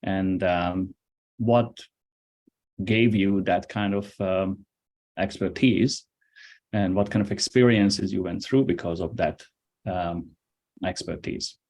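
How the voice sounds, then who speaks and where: A man, a business advisor and professor, speaking in a measured way with frequent fillers in a casual interview. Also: sits low, creaky, darkish tone. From Hungary. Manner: measured, hesitant with many fillers.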